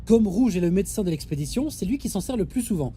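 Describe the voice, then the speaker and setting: A woman playing a brisk, authoritative space station captain in a French audio drama. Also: medium pitch, lively intonation, mellow, a little hiss or hum, close to an everyday voice. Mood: instructive, patient. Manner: authoritative, matter-of-fact, dismissive of nonsense.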